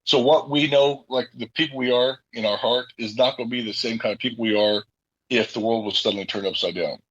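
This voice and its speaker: A man's voice: medium pitch, steady tone, brisk, a bit bright, husky, no echo, dull audio. A man giving casual, unscripted interview answers as a guest voice actor, rambling on in a chatty, earnest way.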